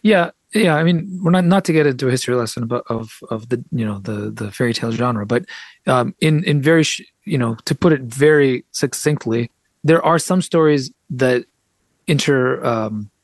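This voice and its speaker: A man's voice: medium pitch, normal speed, dry recording. Articulate American man in a dry, close recording. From United States. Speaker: podcast guest, author and translator. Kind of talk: conversational interview, explanatory.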